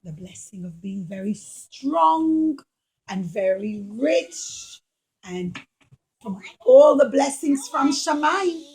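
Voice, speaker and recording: Woman as old father, puppet show, high voice, solemn, emphatic, loving, blessing-giver. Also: very animated, takes it slowly, darkish tone, heavily acted, rough recording.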